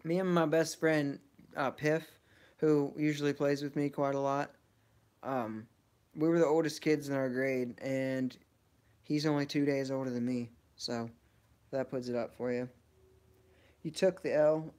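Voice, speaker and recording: A man's voice: mid-range voice, fairly even intonation, measured pace, pauses often, darkish tone. A man in his early twenties chatting casually on a livestream, answering viewers in a laid-back, slangy way. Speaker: game streamer.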